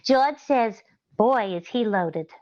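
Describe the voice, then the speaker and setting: Lady doing a ditzy chatty 40s radio housewife, dreamy, highish voice, bit muffled. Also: expressive, slow pace, a little mellow. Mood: chatty, wry. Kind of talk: 1940s radio comedy acting.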